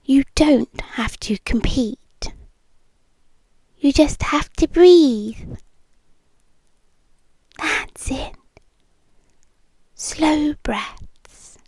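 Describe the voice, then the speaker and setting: A British woman playing a possessive, doting yandere girlfriend in an intimate ASMR roleplay, soft, coaxing and often whispering close to the mic. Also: high voice, very slow, long pauses, no echo, exaggerated voice. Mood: tender, soothing. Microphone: close microphone, dry.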